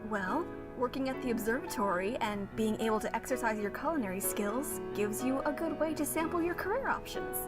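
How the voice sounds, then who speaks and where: A woman voicing a kind, timid school counselor in a cartoon fandub, gentle and hesitant. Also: a little high, brisk, hardly any pauses, over loud music, natural voice. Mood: encouraging.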